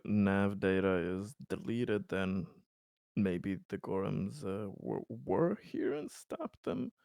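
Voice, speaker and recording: Deep voiced guy playing a tabletop rpg, casual chat, super dry sound. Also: vocal fry, dark tone, dry recording. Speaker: tabletop game player.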